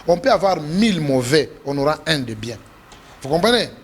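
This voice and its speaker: A Congolese politician being interviewed, speaking French with a Congolese accent, forcefully repeating and stressing his points, his voice rising when indignant. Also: very animated, moderate pace, breathy edge. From Democratic Republic of the Congo. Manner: emphatic, repeats himself, pitch jumps when indignant. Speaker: politician guest.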